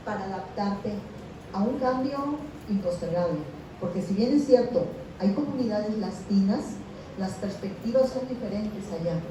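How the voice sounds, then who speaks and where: A woman interviewing a guest, asking long, formally phrased questions. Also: mid-range voice. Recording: echoey, distant, noisy video call audio.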